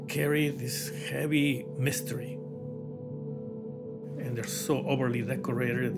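Middle-aged guy w a mexican accent, gravelly voice, thinks out loud, lots of uhs. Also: mid-range voice. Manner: thoughtful, pausing with fillers and soft laughs. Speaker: artist. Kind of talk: reflective documentary interview.

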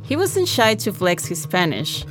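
A woman's voice: on the low side, big pitch swings, measured pace, over music. A woman co-hosting a show with conversational scripted narration.

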